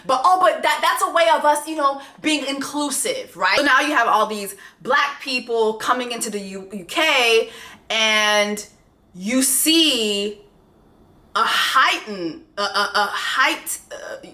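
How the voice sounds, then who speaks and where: A woman's voice: fairly high pitch, expressive, slow, pushed and bright. A woman vlogger giving a profane, fired-up, mocking rant about a docuseries. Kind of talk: ranting commentary on a docuseries. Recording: echoey room.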